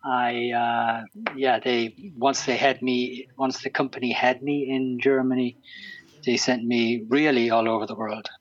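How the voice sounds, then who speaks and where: A man's voice: medium pitch, little pitch movement, a little slow, no room sound. Irish man in his sixties, dull dry sound. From Ireland. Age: 60s. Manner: hesitant, lots of ums and restarts. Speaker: interview guest. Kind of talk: casual interview chat.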